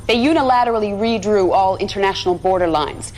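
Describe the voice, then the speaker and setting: An actress playing a devout propagandist of a militant brotherhood, giving a solemn, measured and righteous address in a video game. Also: female voice, mid-range voice, a little mellow, a little hiss or hum, close to an everyday voice. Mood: aggrieved, solemn.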